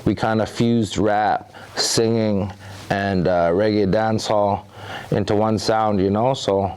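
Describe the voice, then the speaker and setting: A man from the Bahamas speaks as a rapper guest in an interview. His voice sits fairly low, and he talks in a relaxed, easygoing way, often adding "you know.". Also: slow pace, smooth. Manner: laid-back, loose, often says "you know". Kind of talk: casual interview talk.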